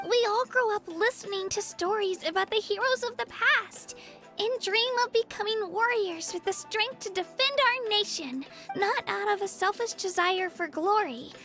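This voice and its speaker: Polite earnest warrior girl from a game, really high voice, bright, some music behind. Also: full character voice. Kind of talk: video game voice acting.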